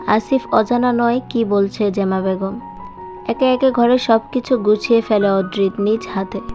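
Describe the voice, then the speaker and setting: A woman's voice: fairly high pitch, a little mellow. A woman reading a novel aloud as a scripted, dramatic audio story narration. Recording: dull sound with music behind. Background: music.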